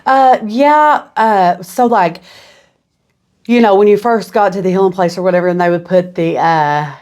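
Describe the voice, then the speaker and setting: A woman's voice: mid-range voice, moderate pace, darkish tone. A young woman from southeastern Kentucky with a Kentucky accent, a guest on a podcast who talks casually with lots of "you know what I'm saying". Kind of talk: casual interview.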